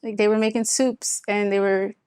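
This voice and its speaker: A young woman speaks as a guest in a casual interview. Her mid-pitched voice is recorded dry, with very little room sound. She talks informally, like a small business founder explaining her work. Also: flat delivery, moderate pace, a little mellow, clear, resonant tone, no room sound. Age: young adult.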